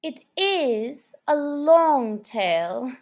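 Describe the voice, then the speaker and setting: Very high, plaintive little mouse. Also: female voice, big pitch swings, extremely slow, heavily acted. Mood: doleful, sighing. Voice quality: thin, very high. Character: squeaky, touchy, doleful little mouse.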